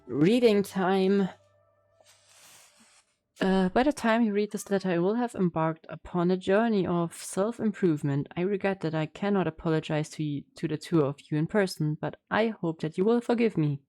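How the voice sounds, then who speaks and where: A woman streaming a game in a casual way. Her voice is soft-edged and mellow, a little low, as she reacts and reads aloud over faint music. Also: moderate pace, dry recording. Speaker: gaming streamer.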